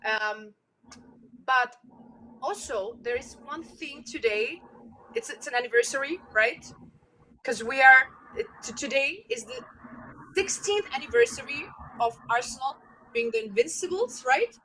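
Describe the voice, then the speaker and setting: A woman with a German accent hosting an online sports talk show, excitable and restarting her sentences, over a video call. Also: high voice, measured pace, a little hiss or hum, low-quality recording. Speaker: host.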